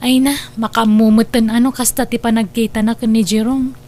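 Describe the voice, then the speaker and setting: A woman's voice: on the high side, flat delivery, fairly quick, darkish tone, close to an everyday voice. A woman with a Filipino accent playing a breezy, defensive young graduate in a comedy drama, flippant and protesting. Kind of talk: amateur comedy drama acting.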